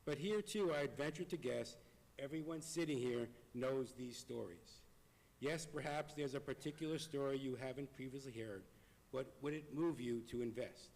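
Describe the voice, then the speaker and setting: Hoarse man giving a measured speech. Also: medium pitch, darkish tone. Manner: earnest, measured, reading from notes. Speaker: congregant giving an appeal. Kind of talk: prepared speech. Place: synagogue sanctuary. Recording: long hall reverb.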